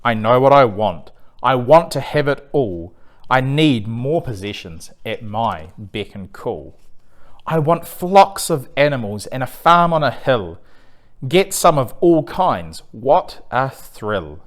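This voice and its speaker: A man acts out a restless young king from a children's storybook, greedy and full of himself. He speaks in emphatic, grandiose demands, with pitch that swings about. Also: sing-song, slow pace. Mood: demanding, excited.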